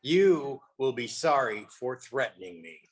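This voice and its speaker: A man's voice: medium pitch, big pitch swings, measured pace. A man playing a proud, possessive, threatening king in an online play reading. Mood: indignant, threatening.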